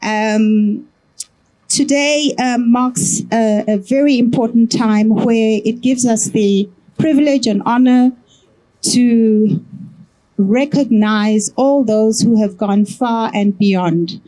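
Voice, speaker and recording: A woman with a soft voice, an executive director giving a welcome address in a hesitant, unhurried way. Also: on the high side, low-quality recording. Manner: hesitant, unhurried speech with fillers.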